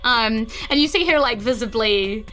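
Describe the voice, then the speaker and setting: A woman's voice: fairly high pitch, normal speed, a bit bright, poor audio. A woman with a New Zealand accent casually narrating an improvised tabletop game as game master, peppering it with "like". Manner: casual, says "like" often.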